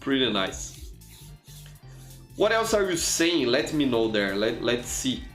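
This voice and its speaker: A man's voice: medium pitch, very animated, slow pace, a bit bright, reverberant, soft background music. A man from Brazil, a native Portuguese speaker with a Brazilian accent, chatting casually while streaming a game and often restarting his words. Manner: chatty, frequent restarts and repeated words, reads chat aloud. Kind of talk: casual live gameplay commentary.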